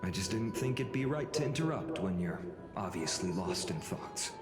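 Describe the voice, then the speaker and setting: A voice actor playing a polite, earnest, composed young male hero in a video game, courteous and soft-spoken. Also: low-pitched, lively intonation, normal speed, roomy sound, over music. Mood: polite, amused.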